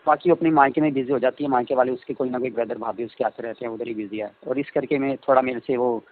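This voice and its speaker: A man's voice: mid-range voice, fairly even intonation, quick pace, natural voice. Guy acting as a married stepson sweet-talking on a phone call, thin tinny line, hesitant and suggestive, everyday voice. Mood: planning.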